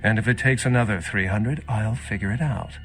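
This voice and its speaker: Low-voiced, scholarly ancient vampire. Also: male voice, brisk, fairly bright tone, faint music behind, poor audio. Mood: earnest, lofty. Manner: lofty, articulate, theatrical. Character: erudite, philosophical centuries-old male vampire scholar. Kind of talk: video game character acting.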